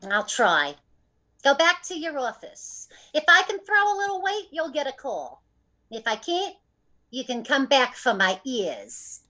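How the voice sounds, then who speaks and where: A brassy underworld dame acted by a woman from an old radio crime play. She is defiant and taunting, stretching out her slang slowly in a very high, widely swinging voice. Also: big pitch swings, bright, forceful tone, full character voice. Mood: grudging. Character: brassy, defiant female underworld juke-joint owner. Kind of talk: old-time radio gangster slang acting.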